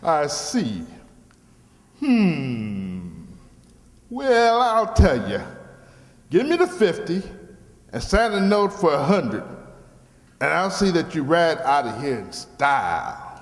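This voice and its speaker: Shameless car dealer, wildly animated high voice, slow drawn-out patter, radio comedy, long hall reverb. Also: male voice, full character voice. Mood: scheming. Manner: theatrical, drawn-out sales patter. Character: folksy fast-dealing used-car salesman, smooth and shameless. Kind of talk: old-time radio comedy acting.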